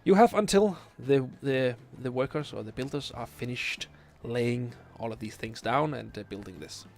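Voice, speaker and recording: A man's voice: mid-range voice, moderate pace, darkish tone, a little hiss or hum. A man in his late twenties with a Danish accent, streaming a game late at night and chatting through viewer questions, hesitant with many fillers as he searches for English words. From Denmark. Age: 20s. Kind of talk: casual live stream chat, reading chat questions.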